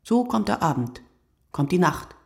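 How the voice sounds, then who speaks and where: A performer reading a literary radio play monologue in short, deliberate, reflective phrases. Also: medium pitch, big pitch swings, creaky, a little mellow, slightly rough, no echo, studio quality.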